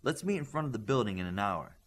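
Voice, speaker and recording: Man acting an earnest young hero, lively pitch. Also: fast talker.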